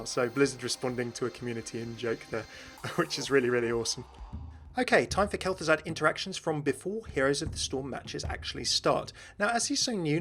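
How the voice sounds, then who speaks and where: A man presents a gaming video as its host. He gives informative, enthusiastic commentary on game lore, in a voice of medium pitch. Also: a bit bright.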